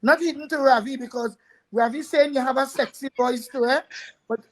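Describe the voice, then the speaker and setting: A woman's voice: high-pitched, brisk, rough recording. A woman hosting a live talk show, chatty, addressing viewers and repeating words as she goes. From Trinidad.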